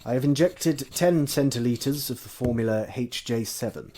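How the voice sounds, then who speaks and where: An actor playing a cold, menacing Victorian gentleman scientist in a stage drama, clipped, curt and contemptuous. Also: medium pitch, moderate pace, a little hiss or hum, close to an everyday voice. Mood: clinical. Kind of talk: theatrical stage drama acting.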